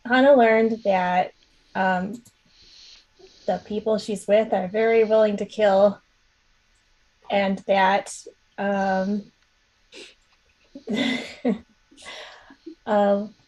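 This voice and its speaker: A woman's voice: medium pitch, a little mellow, lo-fi sound. A woman player on a tabletop game show chatting casually in a hesitant, scattered way. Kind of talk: casual recap chat.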